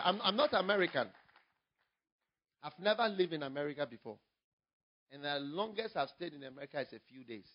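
A man with a Ghanaian English accent, a pastor preaching a sermon, moving from quiet storytelling to loud, emphatic preaching. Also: medium pitch, muffled recording.

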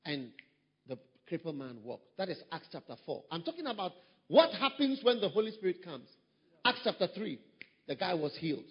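Husky male pastor, fairly high voice, preaching emphatically; dull recording with a long hall echo. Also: sing-song, normal speed. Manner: emphatic, repetitive preaching with surges in volume. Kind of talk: sermon.

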